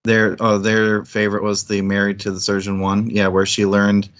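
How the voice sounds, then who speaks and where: A man's voice: on the low side, completely flat delivery, normal speed, fairly bright tone, dull audio. A man chatting informally about books as a livestream co-host, rambling and thinking aloud as he goes. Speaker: co-host of a book club livestream. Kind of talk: casual book discussion chat.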